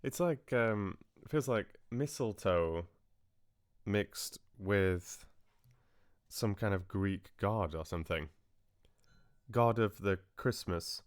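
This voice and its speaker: A man's voice: lowish voice, slow, no echo, studio quality. A man hosting a livestream, chatting casually with viewers in a friendly, hesitant way with trailing asides. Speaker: livestream host. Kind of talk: casual chat with live viewers.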